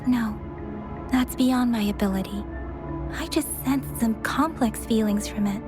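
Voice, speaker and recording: Woman acting, young female scout, video game, high-pitched, measured pace, soft-spoken, sincere. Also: close to an everyday voice. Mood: sorrowful, hushed. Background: background music.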